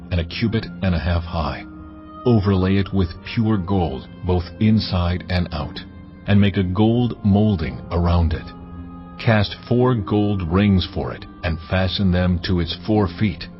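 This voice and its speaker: God, deep and commanding. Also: male voice. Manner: measured, commanding. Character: God speaking to Moses, commanding and solemn. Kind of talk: dramatized scripture reading. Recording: dull lo-fi audio, faint music.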